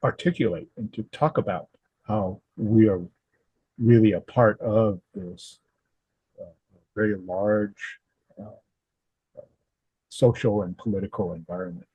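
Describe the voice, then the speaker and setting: A man in his seventies from Southern California, answering interview questions in a thoughtful, halting way with many fillers and restarts. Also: fairly low pitch, fairly even intonation, dark tone, slightly rough, no echo, lo-fi sound. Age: 70s. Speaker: guest, historical society president.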